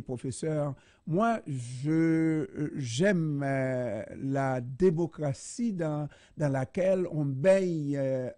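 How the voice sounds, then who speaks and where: A man from Haiti hosts a radio interview, weighing each word slowly with many pauses. His voice is dark and mellow. The sound is dry and close, with little room echo. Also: a little high. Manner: slow, deliberate, many hesitations. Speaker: radio host.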